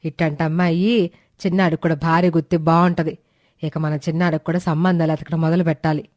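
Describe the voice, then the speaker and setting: A woman's voice: low voice, level tone, fast talker, darkish tone, dry recording, studio quality, close to an everyday voice. A woman voicing an affectionate, proud middle-aged mother-in-law in a family story.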